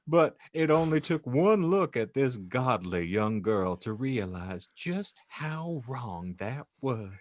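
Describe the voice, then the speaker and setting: A man's voice: mid-range voice, big pitch swings, takes it slowly, few pauses, dry recording, clean studio sound. A man hosting a podcast, narrating fan fiction in a playfully exaggerated, mock-pious way and laughing often.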